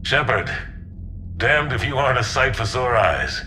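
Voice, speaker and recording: A man playing a senior military officer in a game, middle-aged, gruff but warm and weary of war. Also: medium pitch, expressive, measured pace, a little hiss or hum. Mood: warm, wry. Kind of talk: cinematic game dialogue.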